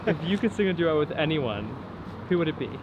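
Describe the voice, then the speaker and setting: A man interviewing a guest, asking short questions with quick, upbeat reactions. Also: fairly high pitch, sing-song, dull audio. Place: outdoors on a city street. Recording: outdoor street noise.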